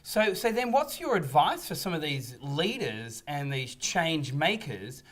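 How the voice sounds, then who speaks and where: A man's voice: fairly high pitch, sing-song, measured pace, fairly bright tone. A man hosting an interview show, eager and often stumbling over the first words of his questions. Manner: eager, repeats words when starting questions. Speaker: host.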